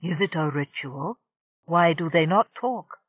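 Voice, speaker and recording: A woman voicing a dignified winged alien father who speaks in grave, measured tones. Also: sits low, no echo, voice pushed down. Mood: curious. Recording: dull lo-fi dry recording.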